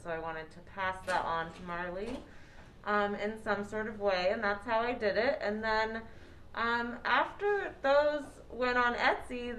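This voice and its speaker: A woman's voice: on the high side, fairly bright tone, rough recording. A woman founder speaking as an interview guest in a casual, personal storytelling style.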